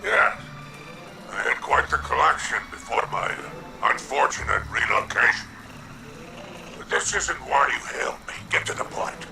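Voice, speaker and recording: A man playing a scheming, wily alien crime baron in a video game cutscene, gravelly, drawling and insinuating, with theatrical flair. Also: medium pitch, expressive, a little slow, rough recording. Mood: wary, grumbling. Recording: loud music behind the voice.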